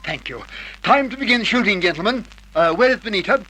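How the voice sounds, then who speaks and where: Nervous peacemaker director, old radio play, highish sharp voice, polite and pleading. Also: male voice, expressive, a little fast, rough recording. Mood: businesslike. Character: anxious, placating male film director. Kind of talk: 1950s radio drama acting. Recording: old radio recording, faint noise.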